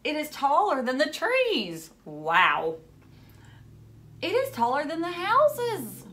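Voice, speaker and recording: A woman in her thirties reading a picture book aloud to young children, expressively and with dramatic emphasis and little asides. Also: high voice. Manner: animated, dramatic gasps and hushed moments, simple questions to children. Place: furnished room at home, indoors.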